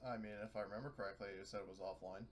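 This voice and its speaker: A man's voice: fairly low pitch, steady tone, rapid-fire, a little hiss or hum. A man chatting casually as a player in a tabletop roleplaying game.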